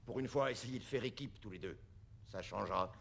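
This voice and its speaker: A man voicing a gruff, authoritative older-style official in a French cartoon dub. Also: fairly low pitch, a little fast, a bit bright, slight background noise. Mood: brisk, dry.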